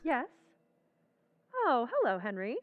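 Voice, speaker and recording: An actress playing a chatty young wife in an old-time radio drama recreation, breezy and a little anxious. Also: female voice, a little high, big pitch swings, slow, soft background music. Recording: thin phone-like sound.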